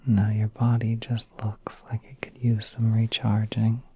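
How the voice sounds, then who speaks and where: A man voicing a gentle, courteous spider prince in a soft, breathy, reassuring whisper for an ASMR roleplay. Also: lowish voice, completely flat delivery. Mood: soothing, attentive. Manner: soft, unhurried, reassuring, hesitant pauses.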